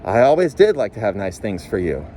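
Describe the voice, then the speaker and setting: A man's voice: on the low side, big pitch swings, a little fast, dark tone, a little hiss or hum. Man voicing a plaintive, quavering wife in an audiobook. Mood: wistful. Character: tearful pleading wife wanting nice things.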